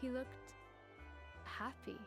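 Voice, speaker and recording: An actress playing a composed, formal woman in a game cutscene, measured in speech and quietly caring. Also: lightly acted. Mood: wistful. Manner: measured, formal, archaic wording. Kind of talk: dramatic video game cutscene acting. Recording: music behind the voice.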